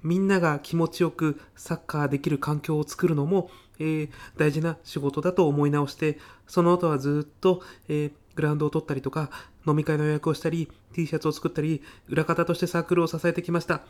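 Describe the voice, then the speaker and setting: A man's voice: a little high, little pitch movement, brisk, few pauses, a little mellow, close to an everyday voice. Man acting as earnest, nervous student jobseeker. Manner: stiff, hesitant, rehearsed-sounding polite speech. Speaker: job applicant. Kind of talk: audio comedy sketch acting.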